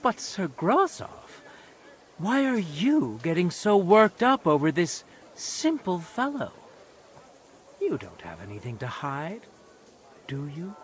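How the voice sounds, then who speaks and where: An actor playing a stern, shrewd medieval nobleman in judgment, speaking in a measured, imperious and dryly sardonic way for a video game. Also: sits high, wildly swooping pitch, slight background noise, exaggerated voice. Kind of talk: dramatic video game acting.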